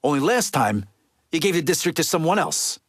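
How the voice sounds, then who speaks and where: An actor playing a tough, foul-mouthed middle-aged Italian-American mobster in a video game, with an American East Coast accent and blunt street talk. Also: male voice, fairly high pitch, very animated, brisk, a bit bright, no room sound, studio quality. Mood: resentful, firm. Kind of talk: video game character dialogue.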